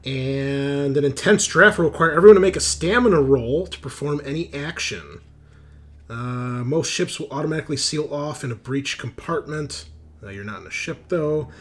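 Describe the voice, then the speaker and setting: A man's voice: fairly high pitch, normal speed, fairly bright tone. A man acting as game master, narrating casually and reading out rules with informal, dramatic emphasis. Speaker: game master of a tabletop roleplaying game.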